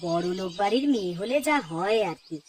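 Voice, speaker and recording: A woman's voice: medium pitch, animated, fairly quick, natural voice. A woman voicing an older, homely mother-in-law in a children's cartoon, complaining and instructing her family. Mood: aggrieved. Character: older mother-in-law, nagging housewife, aggrieved but indulgent. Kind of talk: Bengali children's cartoon dialogue.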